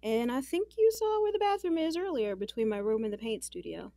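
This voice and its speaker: A woman's voice: fairly high pitch, animated, a little fast, mellow, no echo, studio quality. An American actress playing an independent, guarded but grateful young woman in audio drama dialogue, casual and candid. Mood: guarded, awkward.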